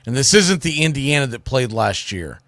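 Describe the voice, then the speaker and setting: A man's voice: medium pitch, big pitch swings, normal speed, a bit bright, no echo, studio quality. A man hosting sports radio, giving opinionated college football commentary in a blunt, emphatic, colloquial way.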